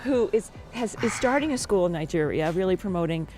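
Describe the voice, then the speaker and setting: A woman's voice: lowish voice, expressive, a little fast. Curious woman interviewer. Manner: friendly and curious, asks short follow-up questions. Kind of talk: casual interview questions. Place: outdoors, on a chairlift. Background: music.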